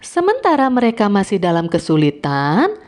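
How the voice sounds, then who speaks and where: A woman narrating a scripted fairy tale for children in an expressive, deliberate read-aloud manner. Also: medium pitch. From Indonesia. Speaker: children's storyteller. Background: music.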